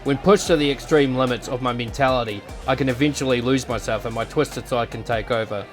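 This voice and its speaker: A man's voice: medium pitch, fairly quick, natural voice. Man acting a cocky young fighter with music behind. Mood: calm, reflective. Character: cocky young male fighter with a twisted, manic dark side. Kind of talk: visual novel voice acting. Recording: voice over background music.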